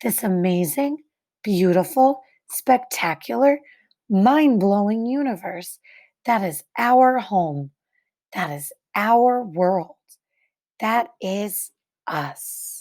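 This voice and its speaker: A woman reading a children's picture book aloud like a teacher, with deliberate, expressive phrasing and dramatic pauses. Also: mid-range voice.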